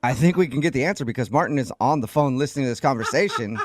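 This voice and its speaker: A man's voice: medium pitch, expressive, racing, noisy background. A man hosting a radio show with casual banter and a joking, teasing way of asking questions.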